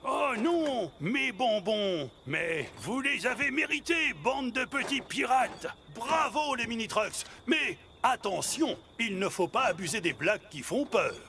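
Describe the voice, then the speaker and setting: A man voicing an excitable talking truck in a children's cartoon, with a theatrical, exaggerated delivery. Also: very high-pitched, a little slow, hardly any pauses, background noise, heavily acted. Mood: animated. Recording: loud music under the voice.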